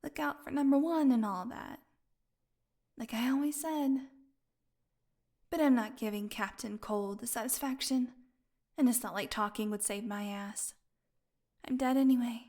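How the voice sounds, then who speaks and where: A woman playing a starving, captive vampire in an audio roleplay, sardonic and proud but desperate, with halting, breathy speech that often falls to a whisper. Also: on the high side, a little mellow. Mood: bitter, wry. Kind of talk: intimate dramatic audio roleplay.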